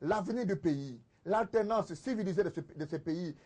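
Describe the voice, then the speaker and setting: A man's voice: high voice, lively intonation, normal speed, dark tone. A man with a Congolese accent arguing insistently in a political TV debate, repeating phrases for emphasis. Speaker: debate panelist, political commentator.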